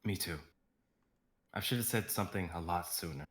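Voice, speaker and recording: A steady, patient male assistant who talks gently and soothingly, read by a man. His voice sits a little low. This is an amateur live dramatic reading. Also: normal speed, echoey room. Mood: gentle. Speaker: actor in a live script reading.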